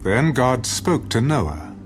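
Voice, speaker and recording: A man narrating scripture as dramatic audio theater, with solemn, deliberate storytelling. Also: medium pitch, very animated. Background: music.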